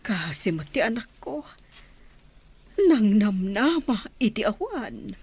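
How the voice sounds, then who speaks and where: Lively, protective Ilocano village mother played by an actress. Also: mid-range voice, slow, husky, exaggerated voice. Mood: distressed, protective. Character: protective, concerned village mother of a grown daughter. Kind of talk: Filipino radio drama acting.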